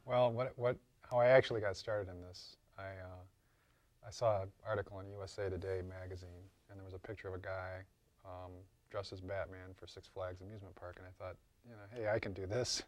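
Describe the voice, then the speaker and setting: A man's voice: deep voice, flat delivery, a little fast, darkish tone. A man being interviewed as a costume and prop maker, explaining informally and often repeating and rephrasing himself.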